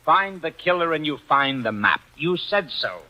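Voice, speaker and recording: A man playing a wisecracking young detective's assistant in a 1940s radio drama, brisk and fast-talking. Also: a little high, sing-song, bright, forceful tone, full character voice, poor audio.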